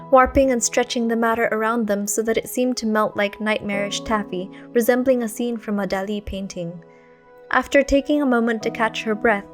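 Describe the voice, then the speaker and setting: Woman narrator, slightly high voice, expressive literary storytelling, faint music behind. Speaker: fan fiction reader.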